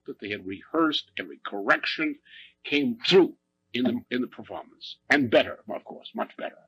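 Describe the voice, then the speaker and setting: A man giving an unscripted spoken account in an emphatic, deliberate way, with short repeated phrases. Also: mid-range voice, a bit bright, slightly rough, no room sound. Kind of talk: unscripted speech recounting a musical performance. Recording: muffled, lo-fi speech recording.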